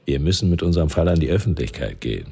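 An actor in a radio play playing a pragmatic, wry detective with a husky, businesslike voice. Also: male voice, extremely low pitch, sing-song, fast talker, warm tone, exaggerated voice. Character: male detective, pragmatic, wry investigating partner.

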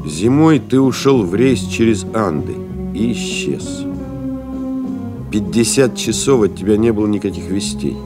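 A low voiced pilot telling of his comrade, solemn audio play style, clear music behind. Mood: solemn, reflective. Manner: solemn, measured, expressive delivery. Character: pilot narrator solemnly recalling his lost comrade, reflective and ardent. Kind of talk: dramatic literary reading in an audio play.

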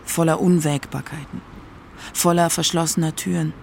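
A man with a creaky voice reading scripted narration for a radio documentary. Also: a little high, fairly even intonation, normal speed, slight background noise.

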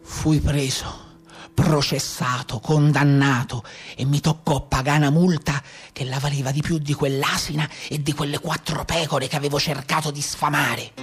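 A man's voice: fairly high pitch, steady tone, normal speed, a bit bright, breathy edge, faint music behind. An actor with a Tuscan accent playing a brigand and former cowherd, bitterly telling his life in colloquial radio theatre style. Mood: aggrieved. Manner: colloquial folk storytelling. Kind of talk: live radio theatre monologue.

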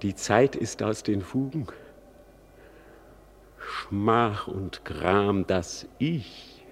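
An actor playing a brooding, grieving prince in a classical radio play, declamatory and dropping into hushed tones. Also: male voice, lowish voice, very slow, slight background noise. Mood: grave, anguished. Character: brooding grieving prince, adult man, solemn and troubled.

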